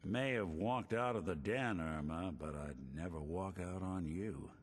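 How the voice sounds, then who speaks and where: An actor voicing a world-weary robot detective in a video game, smooth and gallant. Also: male voice, deep voice, measured pace, a little hiss or hum. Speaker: game character.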